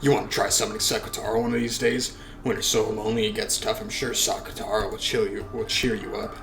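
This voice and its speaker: A man voicing a young girl witch who speaks in a soft, coaxing way. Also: on the low side, little pitch movement, quick pace, voice pushed down, lightly acted.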